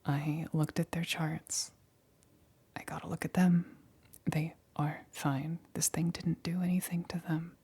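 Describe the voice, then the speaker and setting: A nervous young-sounding lab assistant acted by a woman whispers through an ASMR roleplay. The voice is very breathy and stays in a narrow, even range. The delivery is halting and careful, anxiously reassuring while keeping to a clinical method. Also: deep voice, heavily acted. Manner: hesitant, halting, repeats reassurances.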